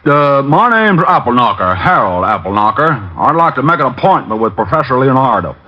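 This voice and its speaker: An actor voicing a boastful, folksy backwoods hog rancher as a disguise, in a 1950s radio drama on a dull old broadcast recording. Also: male voice, mid-range voice, big pitch swings, a little fast, slight background noise, close to an everyday voice. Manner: drawling backwoods talk, put on as a disguise.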